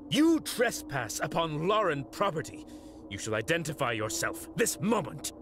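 An actor playing a loyal, indignant knight in a video game, speaking in stern, formal declamation. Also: male voice, fairly high pitch, very animated, moderate pace, fairly bright tone, slight background noise. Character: loyal, indignant knight guarding his young liege.

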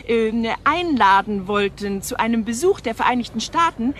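An actress playing a self-assured news reporter in a radio drama, now and then searching for words. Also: female voice, fairly high pitch, lively intonation, normal speed, a little hiss or hum, natural voice. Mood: hesitant.

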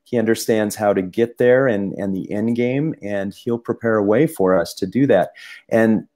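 A man co-hosting a casual discussion, talking in halting bursts with many restarts and fillers. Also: lowish voice, warm tone. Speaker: podcast co-host.

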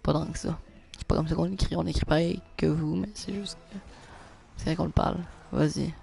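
A young man with a Québécois French accent, live-streaming a car soccer game, chatting with viewers and reacting loudly to plays. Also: on the high side, fairly quick, creaky. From Quebec. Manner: excitable, groans and repeats "non" at misses. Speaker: gaming streamer. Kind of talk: live gameplay commentary reading chat.

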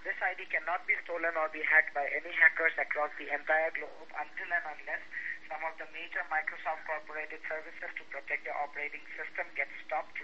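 Guy on a muffled phone line, quick and pushy, reading off a script, slightly high voice. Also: sharp tone, a little hiss or hum. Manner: insistent, repetitive, formal. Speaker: scam caller. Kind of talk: scripted phone sales pitch.

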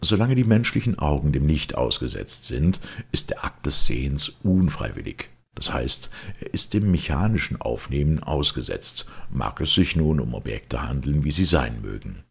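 Very deep, gravelly male narrator. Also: very animated, moderate pace. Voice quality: gravelly, husky. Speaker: audiobook narrator. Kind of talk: scripted literary narration.

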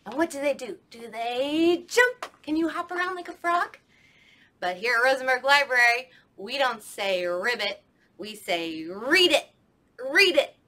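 A woman's voice: a little high, pushed and bright. A young woman, a children's librarian, leading a storytime with songs, talking to kids at home in a sing-song way in a library children's room.

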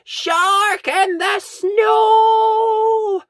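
A reader voicing a young boy who shouts high, shrill warnings in alarm. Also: very slow, no room sound, studio quality, full character voice. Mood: alarmed shouting.

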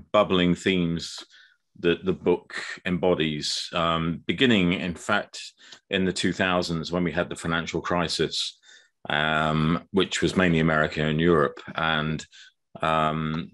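A man in his sixties with an English accent, a guest author explaining things in a measured way with frequent ums over a video call. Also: low-pitched, fairly bright tone. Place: dim room indoors.

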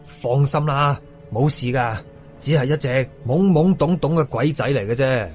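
A man voicing a calm, blunt young ghost hunter in a horror story, casual, with a Hong Kong Cantonese accent. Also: mid-range voice, moderate pace, soft background music, close to an everyday voice. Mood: calm, amused.

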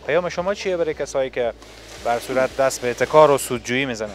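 Male field reporter, thirties, mid-range voice, handheld mic on a factory floor. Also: medium pitch. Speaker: television reporter. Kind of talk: on-location news interviewing. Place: large indoor factory hall. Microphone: handheld reporter microphone.

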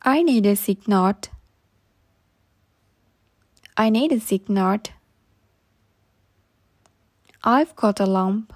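A woman reading short English practice phrases slowly and saying each one twice for learners. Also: mid-range voice, expressive, darkish tone. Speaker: English teacher.

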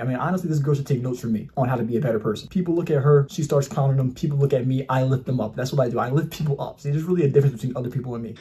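A young man rattles off casual reaction commentary at a very rapid clip, boasting and mocking as he goes. His voice sits on the dark, mellow side. He sits close to the camera in a small indoor room. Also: medium pitch. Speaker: commentary YouTuber.

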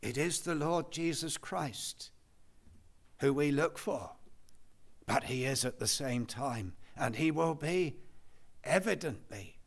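An older man, preaching a measured expository sermon at a church lectern. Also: fairly high pitch, expressive. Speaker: pastor. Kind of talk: expository Bible study sermon. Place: church hall, indoors. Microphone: lectern microphone.

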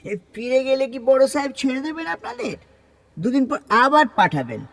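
High-voiced, deferential jeep driver. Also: male voice, big pitch swings, brisk, vocal fry, a bit bright, heavily acted. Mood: respectful, persuading. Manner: deferential but reasoning. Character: respectful, persuasive male jeep driver. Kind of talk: Bengali audio story dramatization.

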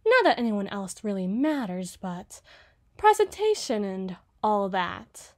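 A woman's voice: mid-range voice, big pitch swings, a little mellow. A woman playing a rich, possessive young heiress who is condescending and doting, with a haughty, teasing manner, in an ASMR roleplay. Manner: haughty, teasing, drawn-out words. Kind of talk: ASMR roleplay, scripted monologue.

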